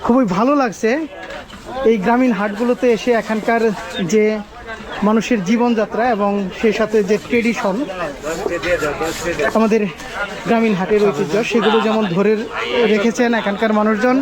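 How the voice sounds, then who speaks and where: A man's voice: high voice, little pitch movement, normal speed, long pauses. A man hosting an on-location vlog, addressing viewers and asking vendors questions in a presenter's style. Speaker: vlog host interviewing market vendors. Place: outdoor rural village market. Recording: busy outdoor crowd behind voice. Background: market crowd chatter.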